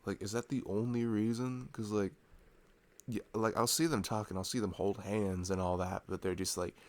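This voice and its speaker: A young man playing a sweet, introverted college-age boyfriend in an intimate ASMR roleplay, rambling casually and affectionately in a halting way. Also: fairly low pitch, little pitch movement, lightly acted. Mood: affectionate, earnest. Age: young adult. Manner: rambling, halting, chuckling asides.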